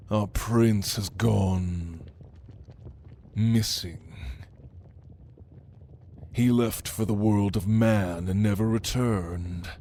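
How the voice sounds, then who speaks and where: Man acting a slow, menacing, haughty demon. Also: lowish voice, warm tone, slight background noise. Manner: slow, deliberate, intimidating, dryly teasing. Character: menacing male demon, prince's right hand, haughty and hungry. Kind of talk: ASMR audio roleplay, addressing the listener.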